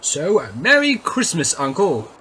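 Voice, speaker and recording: A man's voice: on the high side, huge pitch swings, unhurried, background noise. An actor playing a kindly, earnest, cheerful young man in an audio play. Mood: pleading. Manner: earnest, exclamatory.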